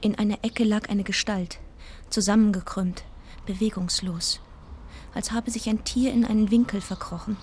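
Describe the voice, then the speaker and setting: An actress in a radio drama playing an inquisitive, fond deaconess who also narrates the story intimately. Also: female voice, mid-range voice, steady tone, normal speed, a little mellow, slight background noise, close to an everyday voice. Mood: calm narration.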